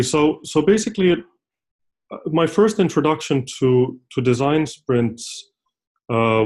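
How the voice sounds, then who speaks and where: A young man from Finland, a consultant who talks as a podcast guest in a halting, rambling way with many restarts. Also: medium pitch. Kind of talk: casual interview talk.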